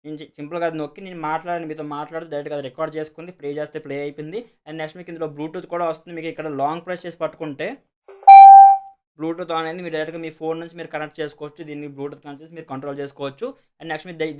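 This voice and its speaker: A man's voice: fairly high pitch, little pitch movement, very fast, clear, resonant tone. A man with a Telugu accent casually explaining and demonstrating a product as a video host, repeating himself and mixing in many English words. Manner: repetitive, peppers speech with English words.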